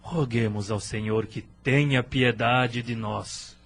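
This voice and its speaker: A man playing a grave, pious father in a religious radio drama, measured and formal. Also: mid-range voice, steady tone, gravelly, a little hiss or hum. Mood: grave, resigned. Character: grave, pious, stern but caring father, a carpenter.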